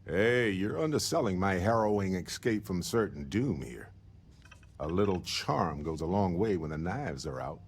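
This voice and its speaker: An actor playing a roguish, upbeat space explorer in a video game, wry and joking in manner. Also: male voice, low voice, lively intonation, normal speed, slightly rough, full character voice. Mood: teasing.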